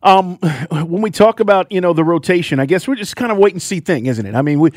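A man's voice: high-pitched, expressive, fast talker, a little mellow, dry recording, studio quality. A man hosting a radio sports talk show, asking his guest casual, hesitant questions.

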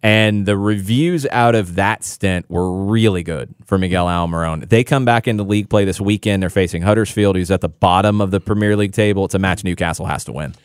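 A man's voice: low-pitched, fairly quick, few pauses, clean tone, no echo, clean studio sound. A man analyzing soccer as a guest on a radio sports talk show, explaining tactics confidently.

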